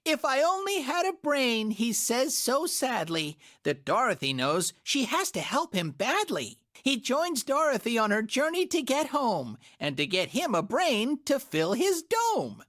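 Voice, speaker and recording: A woman giving scripted rhyming storybook narration with a lively, musical lilt. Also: fairly high pitch, a little slow, hardly any pauses.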